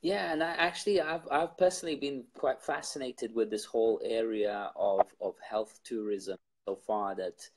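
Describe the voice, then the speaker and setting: Male interviewer, low voice, husky, relaxed and rambling, from Bosnia. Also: normal speed, few pauses. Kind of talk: casual interview chat.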